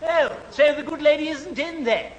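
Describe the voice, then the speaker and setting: Very high, prissy gentleman. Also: moderate pace, lo-fi sound. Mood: eager, genteel. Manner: prim, fussy, fluttery. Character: prissy, easily shocked, genteel middle-aged gentleman friend. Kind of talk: 1970s radio sitcom acting. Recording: echoey old radio hall, faint hiss.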